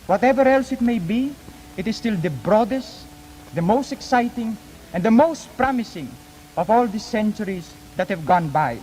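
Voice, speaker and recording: A young man, an exchange student, delivering an earnest, formal prepared speech in measured rhetorical phrases. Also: high voice, animated, a little mellow. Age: young adult. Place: large reverberant hall. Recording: 1950s archive, echoing hall, background noise.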